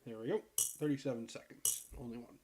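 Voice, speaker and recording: A man with a deep voice, hoarse from a cold, giving relaxed, rambling commentary while playing a puzzle game. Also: fairly quick, very noisy. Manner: relaxed, rambling, clears throat and laughs at himself. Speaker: gaming video creator. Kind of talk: casual gameplay commentary.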